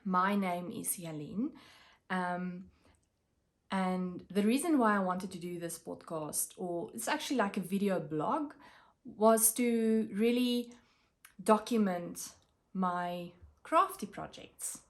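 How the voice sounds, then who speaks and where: A South African woman whose first language is Afrikaans, chatting casually to camera about her craft projects, with many pauses and fillers. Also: medium pitch, animated. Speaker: craft vlogger.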